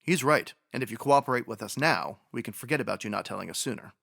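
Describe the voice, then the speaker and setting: A no-nonsense police sergeant played by a woman in a radio mystery. The voice is extremely low and creaky, rattling through firm, matter-of-fact questions at a quick clip. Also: lively intonation, a bit bright, full character voice. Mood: questioning, firm. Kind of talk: radio detective drama acting.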